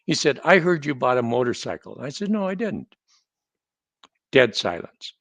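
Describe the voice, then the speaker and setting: A man in his seventies from North Dakota, telling stories as a guest in a casual conversation, measured with a dry humor and frequent restarts. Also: medium pitch, sing-song, fairly bright tone, no echo. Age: 70s.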